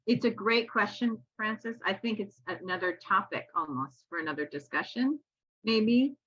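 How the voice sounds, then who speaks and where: A woman co-hosting a casual discussion, talking in an animated way. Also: mid-range voice, normal speed, husky. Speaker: co-host. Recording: dull, dry, lo-fi sound.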